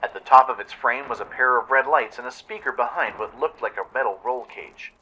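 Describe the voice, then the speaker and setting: A man's voice: mid-range voice, a little fast, sharp tone, dull audio, a little hiss or hum. A man narrating a scripted adventure story dramatically, as in a radio play. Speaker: narrator and radio show host.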